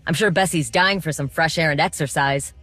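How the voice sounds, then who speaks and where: An actress playing a determined, wry droid mechanic in a video game, speaking informally with coaxing, teasing encouragement. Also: female voice, sits low, brisk, a bit bright, no room sound, faint music behind. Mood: wry, explanatory.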